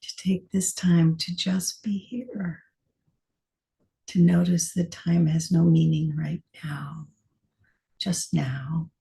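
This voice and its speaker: Very mellow, creaky woman guiding a meditation. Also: lowish voice, steady tone, poor audio. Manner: soft, gentle, short phrases with long pauses. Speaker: meditation teacher. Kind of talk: guided meditation.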